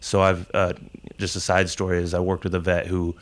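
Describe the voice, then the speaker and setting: A man's voice: sits low, monotone, fairly quick. A man speaking from an audience, earnest and plainspoken as he reflects aloud. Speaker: audience member.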